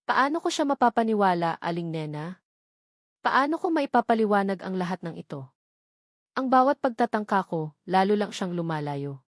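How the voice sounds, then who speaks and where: An earnest, intense romantic hero, a protective company boss in an audio story, read by a woman narrator. The pitch is medium and the recording very dry. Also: big pitch swings, moderate pace, no room sound, close to an everyday voice. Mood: regretful.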